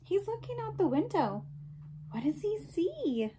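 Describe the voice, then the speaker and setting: A woman's voice: very high voice, very animated, unhurried, warm tone, slight background noise, poor audio. A woman reading a picture book aloud to young children, speaking expressively and putting questions and asides to the listeners. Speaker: storybook reader for young children.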